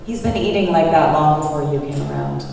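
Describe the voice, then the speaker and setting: Woman acting a low-voiced, disapproving mother-in-law. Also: fairly quick, gravelly, darkish tone, a little hiss or hum. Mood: barbed. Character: stern, sarcastic, disapproving older mother-in-law. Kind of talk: screenplay table read. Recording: very echoey, distant room recording.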